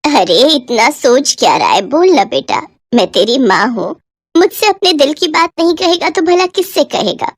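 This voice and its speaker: An aging widowed mother, acted by a woman storyteller, affectionate and plaintive. She speaks high, with motherly, gentle chiding, in a dry recording. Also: lively intonation, moderate pace, dry recording. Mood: fond, questioning.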